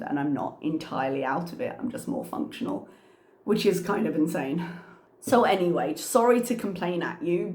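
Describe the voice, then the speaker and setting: A woman's voice: fairly low pitch, a little mellow. A woman, a teacher, chatting casually to her vlog camera in a meandering, storytelling way while congested and coughing a little. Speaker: teacher and channel host. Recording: echoey room.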